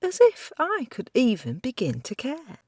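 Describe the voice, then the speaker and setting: A woman's voice: sits high, wildly swooping pitch, unhurried, darkish tone, dry recording, studio quality, pitched above the performer's own voice, full character voice. A reader voicing a sarcastic, rude young woman with a mocking, flippant delivery, in a storybook reading. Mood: scornful.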